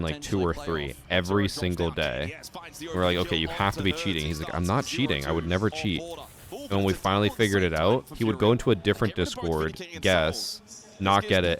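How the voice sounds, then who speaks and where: Man, stream host, very low voice, casual anecdotes, loud crowd behind. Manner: storytelling, laughing through anecdotes. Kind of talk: casual watch-party chat.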